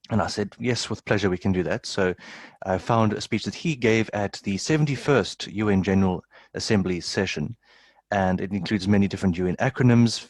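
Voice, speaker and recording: A man presenting an interpreting tutorial in a clear, orderly way. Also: sits low, no room sound. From South Africa.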